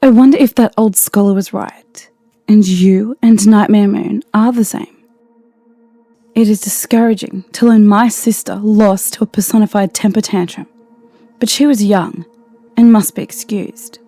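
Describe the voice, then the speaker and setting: A woman's voice: medium pitch, steady tone, a little slow, dark tone, dry recording, faint music behind. A woman voicing a regal pony princess who answers in a composed, dry, unbothered manner. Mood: calm dry wit. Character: calm, regal pony princess, dryly witty. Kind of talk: audio drama reading.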